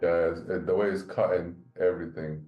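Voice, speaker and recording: A man's voice: low voice, little pitch movement, brisk, muffled recording, soft background music. A man joining a live trading stream, casually talking through how he manages his trades. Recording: echoey room sound.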